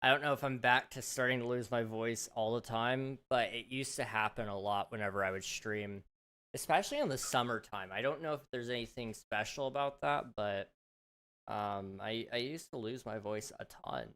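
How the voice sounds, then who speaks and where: A man's voice: mid-range voice, fairly even intonation, normal speed, a bit bright, clear, resonant tone. A man hosting a casual gaming livestream, rambling and chatty with lots of fillers, his voice slightly strained as he starts to lose it. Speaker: livestream host.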